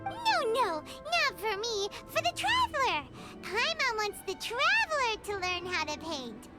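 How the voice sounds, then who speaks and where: A woman voicing a tiny, excitable fairy companion girl who talks about herself in the third person, eager and pushy, in a video game cutscene. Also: very high voice, a little slow, exaggerated voice. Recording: game cutscene over loud background music.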